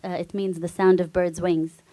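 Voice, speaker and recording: A woman's voice: lowish voice, little pitch movement, moderate pace, warm tone, no room sound. A woman with an Arabic accent performing spoken word poetry on a live stage, rhythmic and repetitive, building to fierce intensity. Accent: Arabic-accented English. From Palestinian family, refugee camp.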